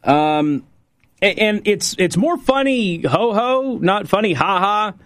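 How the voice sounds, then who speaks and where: A male radio talk show host delivering an opinionated monologue, sarcastic, with mocking swings up in pitch. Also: animated, takes it slowly, fairly bright tone, no echo. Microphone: studio microphone.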